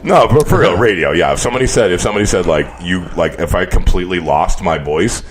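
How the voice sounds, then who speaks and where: A man's voice: low-pitched, lively intonation, fast talker, a little hiss or hum. A man hosting a casual radio talk show, swearing freely and laughing often in crude banter.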